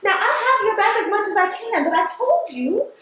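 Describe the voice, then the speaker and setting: Actress playing strained woman supervisor, high-pitched, guarded, defensive, official, stage drama, heavily echoing theatre recording. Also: lively intonation, moderate pace, fairly bright tone, slight background noise. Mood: defensive, pleading. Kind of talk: stage play acting.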